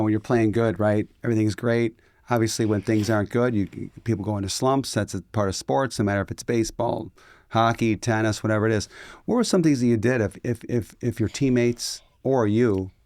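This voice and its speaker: A man with a fairly low voice hosts a podcast, asking relaxed questions and chiming in with quick agreement. His voice is recorded dry and close, with no room sound. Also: dry recording. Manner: brief agreeing replies, friendly questions. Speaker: podcast host. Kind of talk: casual interview questions.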